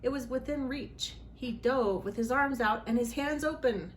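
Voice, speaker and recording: A woman's voice: high-pitched, normal speed, a little hiss or hum, poor audio. A woman hosting a children's read-aloud, reading a storybook expressively with dramatic emphasis.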